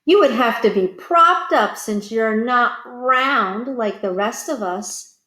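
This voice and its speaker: Woman acting a boastful storybook pumpkin, teasing, exaggerated, swooping pitch, roomy echo. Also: expressive, takes it slowly, bright, forceful tone, heavily acted. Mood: taunting. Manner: taunting, exaggerated.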